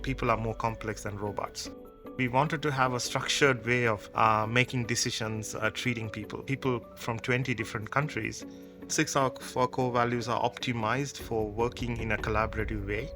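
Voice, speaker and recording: A man's voice: medium pitch, sharp tone. A man, answering interview questions as a company co-founder in a thoughtful, conversational way. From Sri Lanka. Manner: thoughtful, conversational, explains with examples.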